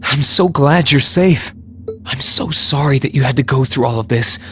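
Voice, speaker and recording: A man playing an earnest, kind young hero in a video game, speaking in a gentle, thoughtful and sincere way. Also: mid-range voice, normal speed, faint music behind, lightly acted. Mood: tender, apologetic. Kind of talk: dramatic video game voice acting.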